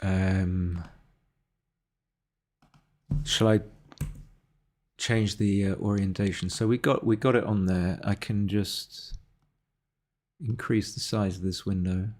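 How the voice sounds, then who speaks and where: Low-pitched man thinking aloud on a livestream. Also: steady tone, slow pace, pauses often, darkish tone. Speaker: livestreamer analysing his backgammon games. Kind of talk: casual live game commentary.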